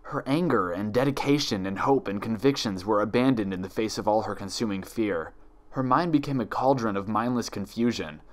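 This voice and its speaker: Low-voiced man narrating a story. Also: fairly quick. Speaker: fan fiction reader. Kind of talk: dramatic scripted narration of a horror story.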